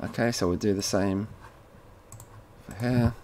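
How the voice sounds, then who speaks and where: A man presenting a casual, informal step-by-step software tutorial, talking to viewers like mates as he works at a computer. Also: on the low side, fairly even intonation, a little slow. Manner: informal, addresses viewers as guys.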